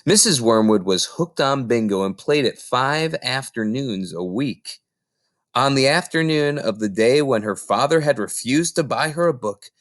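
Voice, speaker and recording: Male storybook reader, expressive narration, mid-pitched, highly animated intonation, little room sound. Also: no echo, studio quality.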